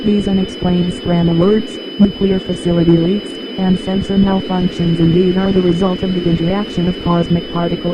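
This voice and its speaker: High-pitched actor as grave male official. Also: background noise, close to an everyday voice. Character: grave male scientific official warning the world. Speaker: official emergency message reader. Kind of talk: formal scripted emergency address.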